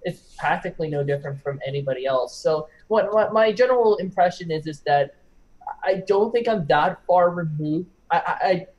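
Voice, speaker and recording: Young male panelist, fairly high voice, casual, halting, hedging delivery with loose swearing. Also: darkish tone, lo-fi sound. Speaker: discussion participant. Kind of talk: casual chat. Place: online group call.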